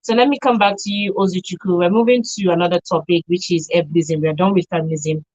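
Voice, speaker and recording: Female Nigerian radio host, slightly low pitch, dry studio sound, live presenting. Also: fairly even intonation, fast, a little mellow, dry recording, highs cut off.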